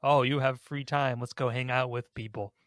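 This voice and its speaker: A man's voice: mid-range voice, steady tone, moderate pace, a bit bright, no room sound, studio quality. A man co-hosting a podcast, chatting casually about video games in an informal, self-deprecating way. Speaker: podcast host.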